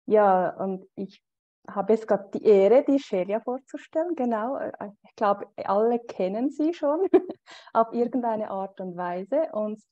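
A woman's voice: medium pitch, lively intonation, a little slow, dark tone, highs cut off. A woman introducing the guest speaker on a video call, hesitant and using many fillers. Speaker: group member introducing the speaker.

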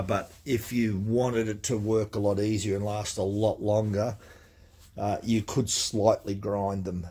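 Husky man at a workbench. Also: deep voice, dark tone. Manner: plain-spoken and practical, with many fillers and restarts. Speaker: chainsaw workshop demonstrator. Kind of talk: casual hands-on tool demonstration. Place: indoor workshop at a cluttered workbench. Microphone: camera mic filming his hands up close.

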